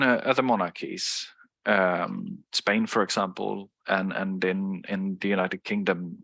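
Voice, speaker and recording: Low, bright-toned man lecturing. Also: vocal fry, no echo. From Sweden. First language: Swedish. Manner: explanatory, with frequent fillers and restarts. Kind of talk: online lecture.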